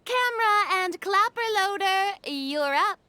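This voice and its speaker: A woman's voice: extremely high pitch, animated, a little slow, noisy background. A woman voicing a flamboyant, bossy, self-important young film director in a video game, with theatrical, commanding delivery. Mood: brisk, commanding. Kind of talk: acted video game dialogue.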